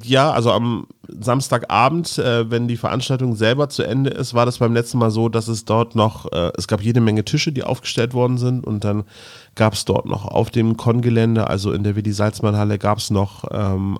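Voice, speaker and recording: A man's voice: fairly low pitch, dry recording, clean studio sound. A middle-aged man chatting casually as co-host of a podcast.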